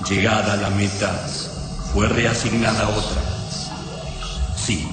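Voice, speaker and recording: Slow, cold alien for radio drama, stilted ritual phrasing, cavernous reverb over music. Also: male voice, on the low side, little pitch movement. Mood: solemn, cold. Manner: inverted, archaic syntax, ritual yes and no tags. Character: alien Mano, cold, solemn servant of invaders. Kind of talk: science fiction radio drama acting. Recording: echoey hall reverb, music behind.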